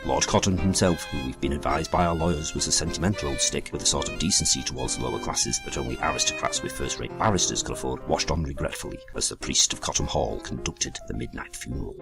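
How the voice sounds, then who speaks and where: Man as a very deep, gravelly mock-solemn narrator. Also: quick pace, few pauses. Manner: mock-dramatic, wordy. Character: mock-solemn storyteller of comic horror tale. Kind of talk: scripted comic narration. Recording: loud music under the voice.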